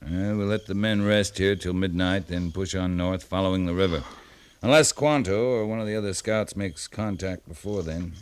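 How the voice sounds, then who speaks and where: A man's voice: deep voice, normal speed, clean tone, lightly acted. A man playing a seasoned, commanding cavalry captain in a 1950s radio western, speaking in a clipped, dryly understated, authoritative way. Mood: calm, low-key. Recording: noisy vintage 1950s radio recording.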